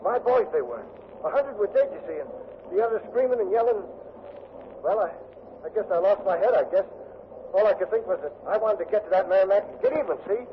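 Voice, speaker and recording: An actor playing a modest, distraught young bosun's mate, talking in halting, colloquial phrases in a 1940s radio drama. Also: male voice, high-pitched, natural voice. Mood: distraught, intense. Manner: halting, colloquial, self-effacing. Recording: muffled, slightly noisy 1940s radio recording.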